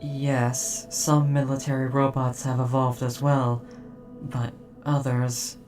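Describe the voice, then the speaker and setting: Audio drama robot soldier, played by an actor: deadpan, measured, protective, monotone delivery, slightly off-mic. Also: medium pitch, distant mic, soft background music, lightly acted. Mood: stoic, matter-of-fact. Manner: formal, measured, literal, deadpan. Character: stoic protective military robot, old, caring, formal. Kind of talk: scripted audio roleplay.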